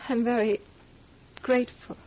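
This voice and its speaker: Shaken gentle woman, fairly high voice, hesitant, polite, 1950s radio acting, muffled old recording. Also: flat delivery, measured pace. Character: gentle, shaken woman displaced into a future century.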